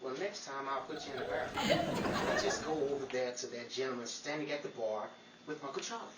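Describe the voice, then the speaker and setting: A man playing a wry, bossy Hollywood amateur detective in a 1940s-style radio comedy mystery, theatrical and given to florid flattery and wisecracks. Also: medium pitch, brisk, natural voice. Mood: urgent, dry. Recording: echoey room, distant mic, noisy crowd behind.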